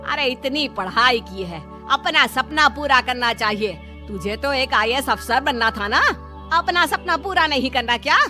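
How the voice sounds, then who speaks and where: A woman voicing a bossy but caring mother-in-law in an animated moral story, nagging and sarcastic. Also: high-pitched, measured pace, faint music behind, full character voice. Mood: scolding.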